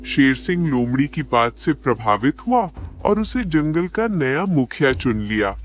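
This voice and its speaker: A man narrates an animated animal tale from a script. His voice is on the high side and swings widely in pitch. Light music runs behind the narration. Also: unhurried.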